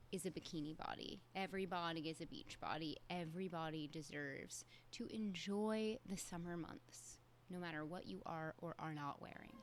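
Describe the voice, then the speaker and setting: A woman's voice: fairly low pitch, a little hiss or hum. A young adult woman, hosting a solo podcast in a candid, conversational way with casual fillers. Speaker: podcast host.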